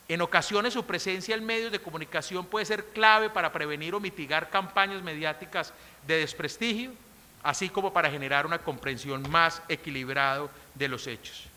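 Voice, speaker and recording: Colombian woman speaker, middle-aged, firm emphatic lecture delivery, piercing bright tone, echoing conference hall. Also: deep voice. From Colombia, coffee region. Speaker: conference speaker on criminal law. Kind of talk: formal academic lecture, partly read from a text.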